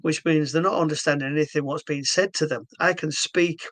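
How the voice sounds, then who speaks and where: A man's voice: a little high, steady tone, fairly quick, a bit bright, dry recording. A man from England with a Yorkshire accent, talking earnestly to camera about his stroke therapy method, with lots of fillers and restarts. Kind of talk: casual talk to camera.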